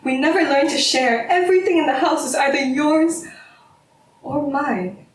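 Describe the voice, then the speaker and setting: Woman doing bitter taunting academic wife, high voice, theatrical, snaps into shouting, roomy stage sound. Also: normal speed, close to an everyday voice. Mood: bitter, anguished. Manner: theatrical, sardonic, swinging into shouts. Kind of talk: stage drama acting. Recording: roomy, distant mic, faint noise.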